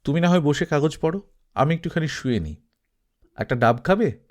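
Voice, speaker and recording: A man's voice: fairly high pitch, animated, brisk, natural voice. A man voicing a sleepy, casual young man with an offhand, familiar manner in an audiobook story. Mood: offhand, drowsy.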